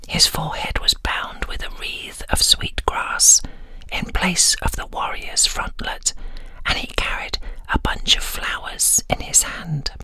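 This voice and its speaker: A woman's voice: on the low side, pushed and bright, poor audio. A British woman narrating a scripted ASMR bedtime story close to the microphone. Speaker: voiceover artist, storyteller. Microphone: close, dry microphone.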